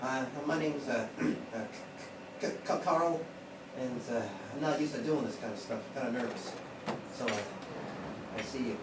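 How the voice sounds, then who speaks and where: A man's voice: mid-range voice, moderate pace, long pauses, natural voice. A man voicing a nervous, bashful ferret puppet, stammering and flustered, in a puppet show. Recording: roomy, distant mic with clear noise.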